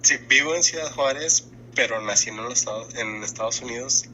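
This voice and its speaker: A man, answering interview questions in a casual, thoughtful way, often pausing and restarting to find his words. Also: mid-range voice, sharp tone, slight background noise, low-quality recording. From Mexico-US border. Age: 20s. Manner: thoughtful, pauses and restarts while searching for words.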